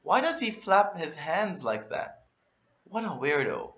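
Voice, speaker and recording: A man voicing an excitable, jealous schoolboy in a children's storybook, with dramatic, exclaiming delivery. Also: a little high, measured pace, reverberant, dull audio, voice pushed up. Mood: puzzled, scornful.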